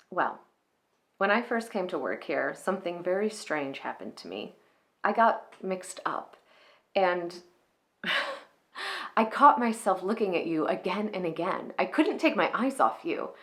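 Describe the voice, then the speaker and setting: A woman's voice: on the low side, very animated, a little slow, pauses often, a bit bright, full character voice. A woman playing an earnest, flustered young shopgirl in a romantic comedy film monologue, hesitant, confiding and wistful. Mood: shy, confessional.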